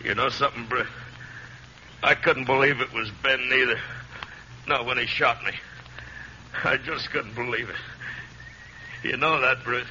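Casting: anguished wounded male westerner, very tense tone, halting rural talk, dull 1950s radio drama recording. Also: medium pitch, fairly even intonation, bright, forceful tone, a little hiss or hum. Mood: subdued, shaken. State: in pain from a wound. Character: wounded, anguished Wild West man, gunslinger's friend.

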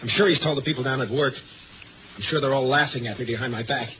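An actor playing an anxious, harried man in a 1950s radio drama, speaking in a halting, strained, pleading way. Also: mid-range voice, slight background noise, lightly acted. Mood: wounded, resigned. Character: anxious, harried man haunted by fear of darkness. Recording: tinny old radio broadcast with music.

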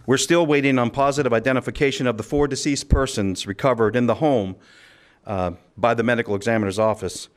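A man speaking as a sheriff at a press conference, delivering a formal statement in a measured, official, occasionally halting way. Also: medium pitch, steady tone. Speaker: county sheriff.

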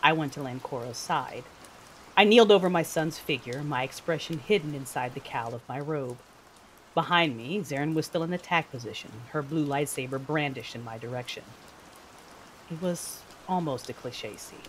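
A woman's voice: low voice, moderate pace, slight background noise, lightly acted, rough recording. A woman playing an intense, battle-hardened Sith mother who narrates her own story theatrically in an audio drama. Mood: brooding, tense. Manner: brooding, theatrical, emphatic outbursts. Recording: music bed with rain sounds behind.

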